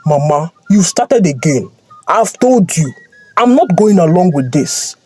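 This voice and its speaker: A woman's voice: fairly low pitch, sing-song, a little slow, mellow, a little hiss or hum. A woman voicing a firm, insistent young man in love in a storybook tale. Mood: angry disbelief.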